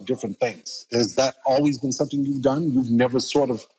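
A man's voice: mid-range voice, brisk, mellow, rough recording. A male radio host with a mid-range voice who sets up each question with context before asking it. He sounds easygoing and curious, keeping the talk moving. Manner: conversational, leading questions. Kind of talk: radio interview.

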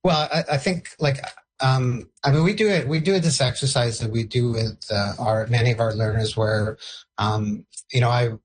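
A man's voice: mid-range voice, normal speed, breathy edge. A man whose first language is Portuguese, answering interview questions hesitantly and often restarting his sentences. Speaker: education leader.

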